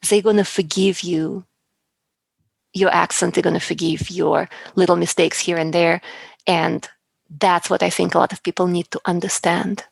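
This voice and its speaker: Low gravelly woman coach being interviewed, earnest, repeats stuff to stress it. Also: level tone, normal speed, no room sound. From outside the US. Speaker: public speaking coach, guest. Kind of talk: conversational interview.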